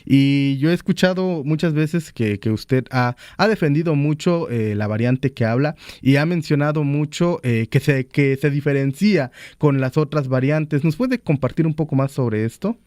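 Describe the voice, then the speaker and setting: A man hosting a radio interview in a formal, courteous manner, his fairly high voice heard dry and close in the studio. He often pauses on small "eh" fillers between phrases. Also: darkish tone, no echo. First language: Totonac. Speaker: radio show host.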